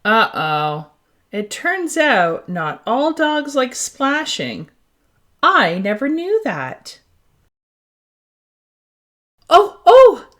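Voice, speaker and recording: A woman voicing an eager, naive young puppy with a childlike, wide-eyed manner in a storybook read-aloud. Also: on the high side. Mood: earnest.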